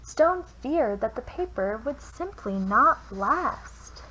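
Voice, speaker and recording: A woman reading a children's picture book aloud with expressive, deliberate phrasing, as a teacher would for young listeners. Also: high-pitched, slightly rough. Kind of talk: children's storybook read-aloud. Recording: noisy home recording.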